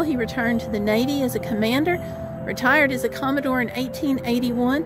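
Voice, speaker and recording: A middle-aged woman narrating an informal sightseeing tour as a travel vlogger, reading out signs and pointing out sights. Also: on the high side. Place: outdoors on small-town streets at night. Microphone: handheld camera at arm's length.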